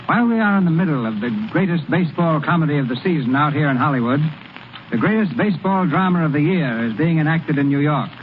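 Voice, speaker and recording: A man's voice: on the high side, lively intonation, fast talker. A man hosting a radio show in formal, scripted 1930s announcing style. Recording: tinny, hissy 1930s radio recording.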